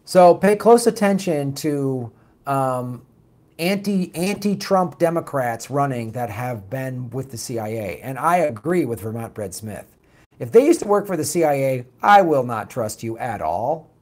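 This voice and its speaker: A raspy man hosting a political talk show, reading viewer comments and answering them bluntly in a casual, animated way. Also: mid-range voice, measured pace. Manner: blunt, animated, mocks opponents with put-on quotes.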